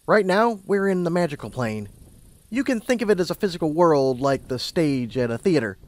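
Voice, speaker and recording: A man's voice: on the high side, animated, moderate pace, darkish tone, pitched above the performer's own voice, close to an everyday voice. A man voicing a confident, kindly female unicorn pony who explains things like a patient mentor. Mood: explaining. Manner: assured, explanatory.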